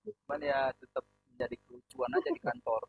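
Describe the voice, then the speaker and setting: A young man from Medan with a Medan accent, a big booming voice and a loud, laughing way of chatting as a podcast host on a call. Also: sing-song, a little fast, vocal fry, slightly rough, faint music behind. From Medan, Indonesia. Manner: loud, laughing, self-deprecating. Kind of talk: casual chat over a video call. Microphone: video call audio. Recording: dull lo-fi call audio, dry.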